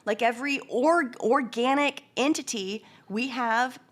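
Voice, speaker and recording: A woman co-hosting a casual podcast, hoarse and sniffly from a summer cold, musing earnestly and talking with animated swings. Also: fairly high pitch, measured pace. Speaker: podcast co-host.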